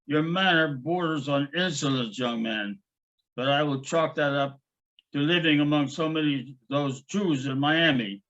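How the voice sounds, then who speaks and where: A man's voice: a little high, normal speed, fairly bright tone, close to an everyday voice. A man voicing a stern German woman hotel manager who talks in a clipped, vaguely menacing way. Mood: cold, clipped. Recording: dull lo-fi recording.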